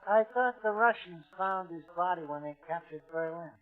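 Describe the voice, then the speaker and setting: An actor playing a skeptical, easygoing American bartender with colloquial, wry talk in a 1940s radio drama. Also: male voice, high voice, normal speed, lightly acted. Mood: skeptical, amused. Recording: tinny distant vintage radio recording, music.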